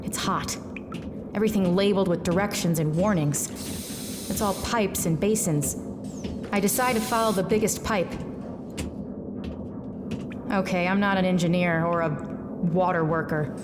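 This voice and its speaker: An actress playing a hard-drinking, wry female private eye, world-weary and deadpan, in a noir audio drama. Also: lowish voice, unhurried, long pauses, very noisy, natural voice. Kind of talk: noir first-person narration and drama.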